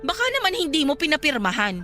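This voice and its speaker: A spiteful, high-pitched, haughty villainess. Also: female voice, sing-song, fast, pushed and bright, faint music behind, exaggerated voice. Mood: haughty, taunting. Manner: taunting, scornful, domineering. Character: spiteful, haughty young married stepsister, a villainess. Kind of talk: Tagalog radio drama acting.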